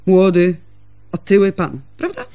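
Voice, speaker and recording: An actress playing an earnest, brooding village woman, telling things in a husky voice with dramatic, deliberate phrasing in a radio play. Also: fairly low pitch, huge pitch swings, mellow, slight background noise, heavily acted. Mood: earnest, doubting.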